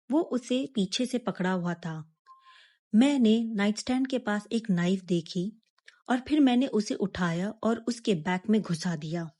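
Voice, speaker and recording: A woman narrating a scripted first-person suspense story in a measured, dramatic manner with pauses. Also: mid-range voice, warm tone.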